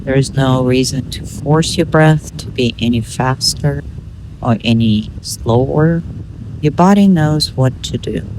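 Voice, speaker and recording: Slow-paced woman guiding meditation. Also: very deep voice, darkish tone, slight background noise, poor audio. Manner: measured, instructional, with pauses between short phrases. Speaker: meditation guide. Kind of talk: guided meditation.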